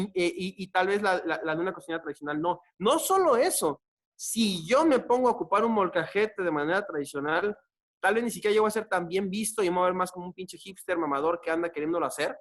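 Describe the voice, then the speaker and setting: A man's voice: high-pitched, lively intonation, brisk, fairly bright tone. A man with a Mexican accent, a chef arguing insistently and restarting his sentences as he pushes back. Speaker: chef and debate guest. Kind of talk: casual debate.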